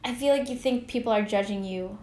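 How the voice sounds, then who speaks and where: A woman's voice: medium pitch, fairly quick, darkish tone, roomy sound, a little hiss or hum. A woman in her thirties chatting on a podcast, thinking things through aloud. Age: 30s. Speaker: podcast co-host. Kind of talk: casual chat.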